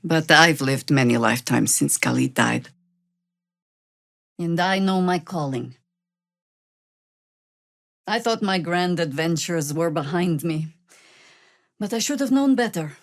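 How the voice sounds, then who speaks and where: Tired sardonic old-hand warrior druid lady in a fantasy game, slow dry delivery, really close dry sound. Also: sits low, animated, gravelly, no room sound, heavily acted. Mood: weary, solemn. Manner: dry, wry, measured. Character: weary, sardonic veteran woman druid warrior, battle-hardened. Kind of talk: fantasy video game voice acting.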